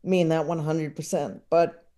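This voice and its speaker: Male podcast guest, unhurried and mellow, rambling and self-deprecating in casual conversation. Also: on the high side, level tone. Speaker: podcast guest, comedy writer and filmmaker. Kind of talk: casual interview chat.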